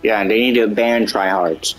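A man chatting casually with friends while playing a battle royale game, reacting quickly and excitedly. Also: on the low side, music in the background, rough recording. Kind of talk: casual gameplay chat.

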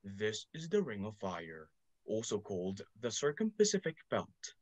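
A student voicing a calm, formal documentary narrator in a school radio drama. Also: medium pitch, measured pace, no room sound, pitched below the performer's own voice.

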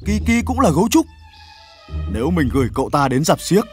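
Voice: mid-range voice, sing-song, fairly quick, faint music behind, natural voice. An actor playing a scheming, grumpy uncle in a children's cartoon dub, muttering and groaning theatrically. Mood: scheming, grumbling.

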